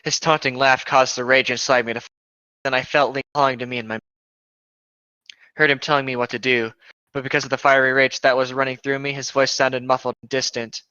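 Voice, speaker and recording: Male amateur narrator, bright crisp voice, casual read-aloud, dry but slightly lo-fi recording. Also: mid-range voice, level tone, a little fast, no echo. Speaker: co-host of a fan fiction reading show.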